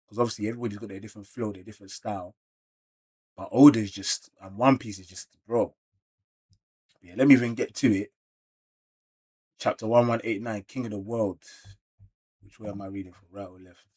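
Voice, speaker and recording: A man with a London British accent doing a casual, slangy manga read-along reaction, moving between animated exclamations and muttered asides. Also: fairly low pitch, fairly quick, long pauses, breathy edge. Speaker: reaction video creator.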